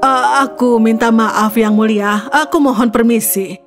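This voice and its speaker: A woman's voice: a little high, fairly even intonation, measured pace, natural voice. A woman voicing a brave young woman disguised as an army captain, hesitant and deferential in manner. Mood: shy, polite. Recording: music behind the voice.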